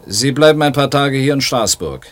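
An actor playing a stern, suspicious border official in a radio drama, curt and bureaucratic. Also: male voice, medium pitch, lively intonation, normal speed, close to an everyday voice.

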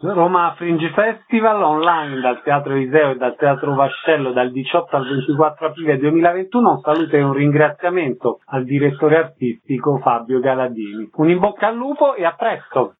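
A male radio interviewer with a fairly high voice presents guests and puts questions to them. The sound is thin and narrow, like a telephone. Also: lively intonation, normal speed, hardly any pauses.

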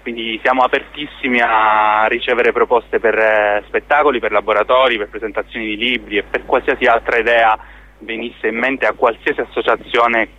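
A man speaks at a middle pitch as a guest in a radio interview. He explains things off the cuff, with frequent hesitations as he searches for words. The sound is dull and lo-fi. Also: level tone, moderate pace. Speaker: theatre company member being interviewed.

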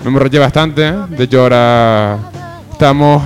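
A young man hosts a college radio chat at an ordinary pitch. He rambles, corrects himself mid-sentence and jokes around, with faint music under his voice. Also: moderate pace. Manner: rambling, self-correcting, joking. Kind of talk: casual chat.

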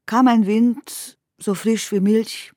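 A woman reciting literary poetry for a radio play in measured, deliberate phrases. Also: on the high side, fairly even intonation, darkish tone, no room sound, clean studio sound.

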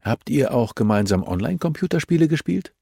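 A man's voice: mid-range voice, sing-song, fairly quick, vocal fry, a little mellow, breathy edge, dry recording, clean studio sound, pitched above the performer's own voice, heavily acted. Man voicing an eager, clipped male police investigator.

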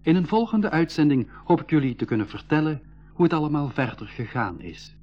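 Sensible young male adventurer, earnest storyteller, husky, dull vintage radio-drama sound. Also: a little high, expressive, fairly quick, faint music behind. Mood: earnest narrating. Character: sensible boy adventurer who narrates his story. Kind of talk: 1980s school radio play with scripted narration. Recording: dull, band-limited old radio recording.

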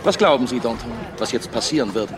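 An actor playing an urbane, ironic aristocratic judge, speaking with courtly polish in a radio drama. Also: male voice, mid-range voice, lively intonation, fast talker, a crowd in the background, close to an everyday voice. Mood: courteous, amused.

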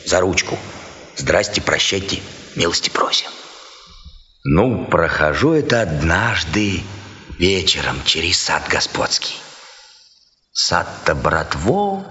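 An actor playing a garrulous peasant telling gossip over drinks in sly, folksy speech, in a radio dramatization. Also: male voice, a bass voice, bright, forceful tone, exaggerated voice. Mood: admiring, unhurried. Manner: folksy, sly, drawn-out telling with repeated words. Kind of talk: dramatized literary storytelling in rustic folk speech. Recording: dull, echoey hall-like reverb.

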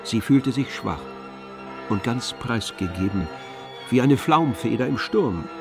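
A man narrating a literary German radio drama in a gravelly voice. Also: mid-range voice, animated, takes it slowly. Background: faint music.

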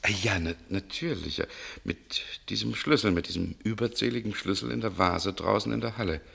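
A male actor playing a shrewd, cool man in a German radio mystery, speaking in a gravelly, deliberate, insinuating way. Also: lowish voice, fairly bright tone, full character voice. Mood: sardonic, probing. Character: shrewd, cool man coolly interrogating a murder suspect.

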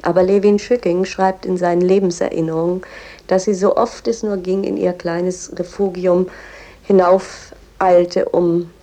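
A woman explaining a writer's life in a measured, knowledgeable way, offering her own opinions as she goes. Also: fairly low pitch, level tone, mellow. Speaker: commentator and guide on a poet's life. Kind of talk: informal literary-historical explanation.

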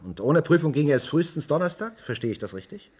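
Nervous pushy bureaucrat, radio drama, swooping intonation, husky. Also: male voice, a little high, sing-song, fast talker, a little mellow. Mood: pressing, impatient. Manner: hesitant, stammering, impatient. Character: flustered male official, servile to superiors, pushy with subordinates. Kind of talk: German radio play acting.

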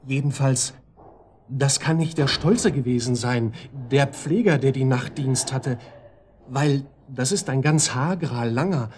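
An actor playing a talkative psychiatric-ward patient informing the police in a German radio drama, rambling and colloquial. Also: male voice, medium pitch, measured pace, darkish tone, a little hiss or hum, close to an everyday voice. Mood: confiding, suspicious.

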